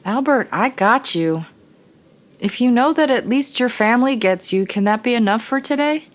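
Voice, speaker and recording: A woman's voice: fairly high pitch, animated, moderate pace, natural voice. A woman in an audio play playing a pragmatic, conciliatory young sister with an American accent and an earnest, reassuring manner.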